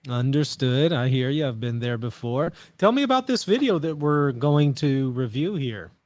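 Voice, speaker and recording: A man from Louisiana hosting a casual talk show, easygoing and quick to laugh. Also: mid-range voice, sing-song, a little mellow, dry recording. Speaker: podcast host. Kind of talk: casual chat.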